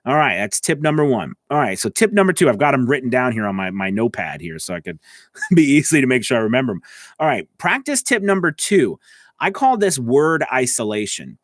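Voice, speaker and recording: A man's voice: mid-range voice, very animated, brisk, no room sound. Man coaching casually, dry close sound. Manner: conversational, explanatory, checks in with "okay?" and "right?". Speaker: voice-over coach and show host. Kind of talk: casual live tutorial.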